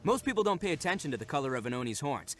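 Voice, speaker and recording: A man's voice: fairly high pitch, very animated, very fast, music in the background. A man playing a proud, brash, earnest young oni gang leader in a video game, speaking emphatically. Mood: proud, resolute. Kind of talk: scripted video game voice acting.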